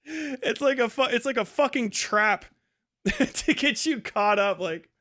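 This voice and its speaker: A man's voice: extremely high pitch, lively intonation, slow pace, bright, forceful tone. A man hosting a casual livestream commentary, swearing heavily in an exasperated, incredulous way. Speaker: livestream host.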